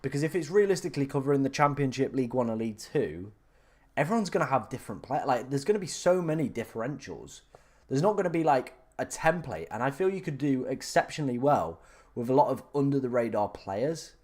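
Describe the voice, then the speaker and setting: A young man from England talks loosely and chattily to a live audience at a steady middle pitch. He reads questions aloud and thinks out loud, full of fillers and quick restarts. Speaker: fantasy football streamer answering live chat. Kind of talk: casual live-stream chat.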